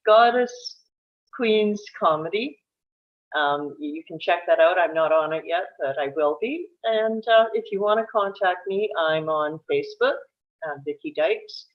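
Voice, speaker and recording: A Canadian woman of mature years speaks in a slightly low voice. She gives a casual introduction of herself as an actress, heard through dull, lo-fi call audio.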